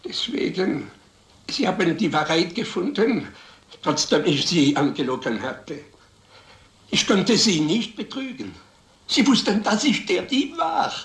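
A desperate peddler in a vintage crime film. He pleads haltingly and slowly, repeating himself, with wide swings in pitch. The old soundtrack is roomy. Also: very animated, a bit bright, heavily acted. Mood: desperate, insistent. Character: desperate, pleading male peddler suspected of murder.

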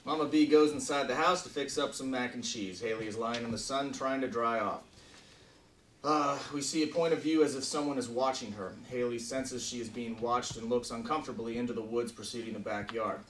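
A man's voice: medium pitch, brisk, fairly bright tone. A man leading a film table read as writer-director in an informal way, talking casually and reading script directions aloud. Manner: informal, profane. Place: meeting room with a conference table. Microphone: distant camera microphone. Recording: roomy, distant camera mic, background noise.

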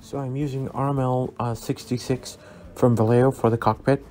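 A man narrating a scale model build as a relaxed tutorial, easygoing and full of ums and uhs as he recommends each step. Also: mid-range voice, moderate pace. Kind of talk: relaxed instructional voice-over. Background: soft background music.

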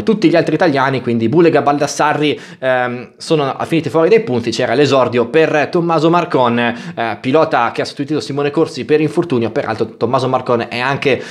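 A man in his thirties recapping motorcycle races in a chatty way, often pausing with filler sounds, recorded indoors on a headset mic. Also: mid-range voice. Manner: chatty, many filler "eh" pauses. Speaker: motorsport YouTuber. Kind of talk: race recap commentary. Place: small room indoors.

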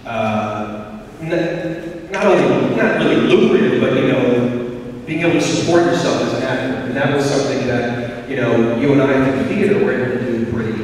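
A man's voice: medium pitch, normal speed. An American man in his forties hosting a casual, unscripted stage conversation, rambling, jokey and often interrupting himself. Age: 40s. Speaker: actor hosting a festival conversation. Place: large wood-panelled auditorium stage. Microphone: handheld mic, heard from camera in hall. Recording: echoey hall, far off, faint noise.

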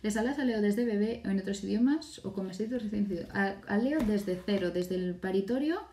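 A woman's voice: mid-range voice, fairly quick, mellow. A woman in her thirties from Catalonia, speaking with a Spanish accent from Catalonia, hosting a casual live stream from home and answering viewers' questions. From Catalonia, Spain. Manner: chatty, reads viewer questions aloud and answers them. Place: home room with bookshelves, indoors. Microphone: phone or webcam in front of her. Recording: echoey room, a little far from the mic.

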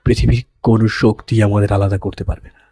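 Male actor as intense young lover, audio romance, warm dark tone, dramatic pleading, English words mixed in, dry recording. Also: lowish voice, little pitch movement, a little fast, husky, no echo. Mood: tender, pleading. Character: intense, possessive young man pleading his secret love. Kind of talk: Bengali romantic audio story acting.

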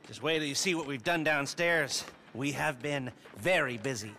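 A man's voice: medium pitch, moderate pace, pushed and bright, a little hiss or hum. An actor playing a nervous, awkward middle-aged hacker in a video game cutscene, stammering and fumbling his words. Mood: proud. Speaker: heist planner character.

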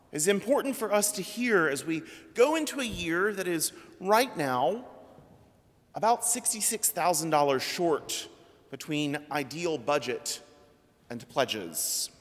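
Slow, measured man preaching in a church. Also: lively intonation. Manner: slow, measured preaching with trailing drops in pitch. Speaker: priest. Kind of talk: sermon. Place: church sanctuary at a pulpit. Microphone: pulpit microphone. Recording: long church reverb.

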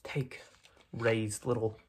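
A young man playing a smug, menacing mob boss in an ASMR roleplay, speaking in halting phrases with a breathy, raspy voice that often drops to a whisper. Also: on the low side, level tone, noisy background. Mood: persuasive. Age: young adult. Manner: slow, halting, repeats words, quietly intimidating. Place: dim room at home, indoors.

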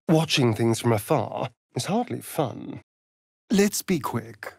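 An actor playing a vain, sardonic vampire rogue in a video game, with a British accent and an arch, aristocratic, theatrical delivery. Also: male voice, medium pitch, vocal fry, slightly rough, no echo, studio quality, heavily acted. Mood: musing. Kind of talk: theatrical game character dialogue.

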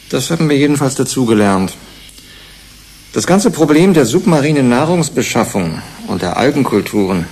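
A blunt investigator in a radio play. His voice is medium in pitch with heavy creak. He reasons deliberately and with emphasis, over slight background noise. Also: darkish tone. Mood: critical, emphatic. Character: blunt, analytical male investigator on a research committee. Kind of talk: German radio play acting, analytical discussion. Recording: 1980s radio play, faint noise, ambience.

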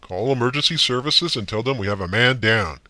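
A man voicing a stern, blunt small-town sheriff who umpires, barking his lines loudly. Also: mid-range voice, lively intonation, a little fast, dry recording. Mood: commanding. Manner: loud, barking.

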